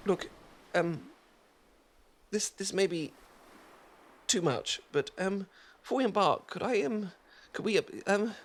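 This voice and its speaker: A man's voice: sits high, lively intonation, a little slow, voice pushed up. A man with a British accent playing an awkward, sweet, sunburned young man in an ASMR roleplay, stammering and self-deprecating. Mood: flustered. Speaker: ASMR performer.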